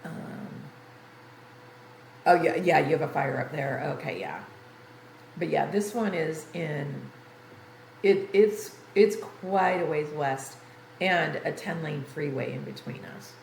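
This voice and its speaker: A woman's voice: low-pitched, animated, slow, creaky, long pauses, darkish tone. An older woman livestreamer chatting casually and ramblingly to her viewers, sounding congested and tired. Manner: rambling, conversational. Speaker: game livestreamer. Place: room in an apartment. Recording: roomy echo, faint background noise.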